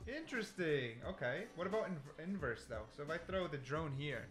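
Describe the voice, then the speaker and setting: A man's voice: a little high, sing-song, moderate pace, over music. A man giving casual gaming commentary, thinking aloud and repeating himself for emphasis. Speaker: gaming content creator. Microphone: desk mic, sometimes turns away from it.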